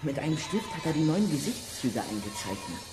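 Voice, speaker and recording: A performer playing an articulate, excitable and slightly anxious boy detective in a children's audio drama. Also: medium pitch, brisk, music in the background, natural voice. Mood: curious.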